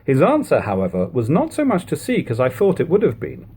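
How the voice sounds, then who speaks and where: A man with a husky voice reading a literary text aloud as an audiobook narrator, in a lo-fi home recording. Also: on the high side, wildly swooping pitch, a little fast, dark tone. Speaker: audiobook reader.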